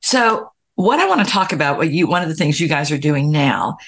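An American woman in her sixties with a somewhat low voice hosts a podcast. She speaks casually and conversationally, like someone sharing personal stories with her guests. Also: big pitch swings, brisk, fairly bright tone. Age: 60s. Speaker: podcast host and interviewer. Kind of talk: casual chat, personal storytelling.

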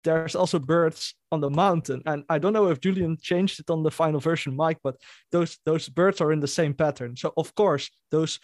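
A man's voice: on the high side, fairly even intonation, moderate pace, a little mellow, no echo. A Dutch-speaking man in a band, telling a story in a casual interview and laughing as he goes. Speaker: band member.

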